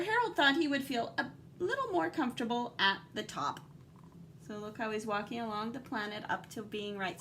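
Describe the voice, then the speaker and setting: A woman reading a picture book aloud like a teacher, animated and dramatic, with guiding asides to young listeners. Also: on the high side, a little hiss or hum.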